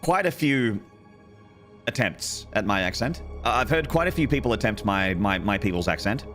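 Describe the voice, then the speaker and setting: A man's voice: lowish voice, lively intonation, normal speed, fairly bright tone, faint music behind. An Australian man streaming a game, chatting to viewers in a joking, rambling way. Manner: joking, rambling, playfully flirty with chat. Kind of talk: casual live-stream chatter while gaming.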